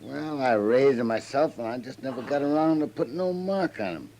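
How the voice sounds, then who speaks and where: A man's voice: mid-range voice, expressive, a little fast, mellow, close to an everyday voice. An actor playing a talkative, self-deprecating stableman with a rural American accent in a 1950s radio western, plain-spoken and rambling. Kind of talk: 1950s radio drama acting. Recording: faintly noisy old radio recording.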